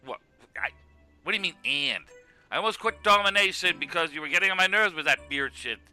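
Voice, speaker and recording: A man's voice: on the high side, expressive, a little fast, bright, forceful tone, soft background music. A man playing an exasperated, mocking wrestler in a scripted web cartoon, scolding with emphatic sarcasm. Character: exasperated, mocking male wrestler, the self-styled straight man. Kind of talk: scripted comic argument in web animation.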